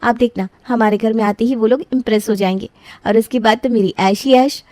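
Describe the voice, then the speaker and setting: Young scheming daughter, self-pleased, high voice, story drama, acted by a woman. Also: fairly even intonation, fairly quick, a little mellow, dry recording, natural voice. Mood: eager, confident.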